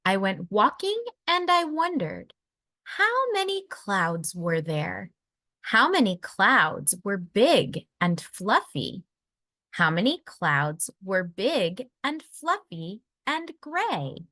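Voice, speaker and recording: A woman reading a children's picture book aloud in a deliberate, expressive storytelling manner for young listeners. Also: medium pitch, no echo, clean studio sound.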